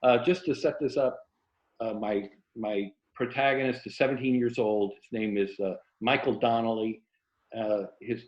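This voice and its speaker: A man's voice: medium pitch, a little mellow. American man on a roomy, muffled call. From Tampa, Florida, USA. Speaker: writer, interview guest. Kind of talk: casual conversation, then reading own novel excerpt aloud. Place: indoors in an old house, on a video call.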